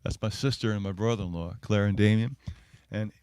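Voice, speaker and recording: A man's voice: on the low side, fairly even intonation, fairly quick, darkish tone, smooth, dry recording. A man, a performing singer-songwriter, talking casually between songs in a wry, self-deprecating way. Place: live-streamed music show at a venue.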